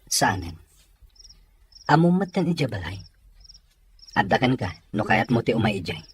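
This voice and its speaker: A woman's voice: extremely low pitch, big pitch swings, measured pace, a little hiss or hum, exaggerated voice. A woman playing a plain-spoken, humble young provincial man in an audio drama. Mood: modest, reserved. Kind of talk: Ilocano audio drama acting.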